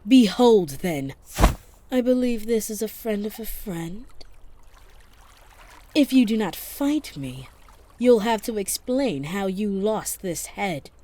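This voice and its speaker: A woman voice acting a haughty, menacing empress who speaks in a measured, taunting way for an ASMR roleplay. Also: medium pitch, lively intonation, mellow. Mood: haughty, challenging. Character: menacing, imperious empress commanding a war fleet.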